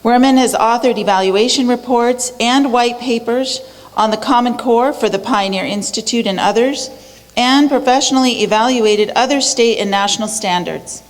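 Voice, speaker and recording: A woman moderating a panel, reading a speaker's introduction in a brisk, upbeat way. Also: fairly high pitch. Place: lecture hall. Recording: long hall reverb.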